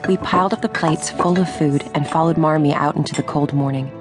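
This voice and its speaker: An actress playing a grown daughter who recalls her girlhood in measured, reflective radio drama narration. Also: low voice, music in the background, natural voice. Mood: warm, storytelling.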